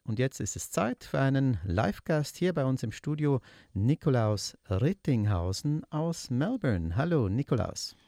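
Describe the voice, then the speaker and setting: Relaxed guy hosting an interview, asks quick leading questions, warm soft voice. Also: medium pitch, vocal fry, no room sound, studio quality. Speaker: interview host. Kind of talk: conversational interview.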